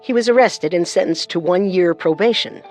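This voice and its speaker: A woman's voice: fairly low pitch, moderate pace, darkish tone, soft background music. A woman co-hosting a true crime show, delivering scripted narration with dramatic phrasing.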